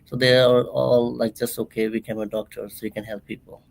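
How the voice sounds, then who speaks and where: A man, a nonprofit founder telling his story in an interview, halting and full of fillers and restarts. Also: medium pitch, flat delivery, gravelly, rough recording. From Bangladesh.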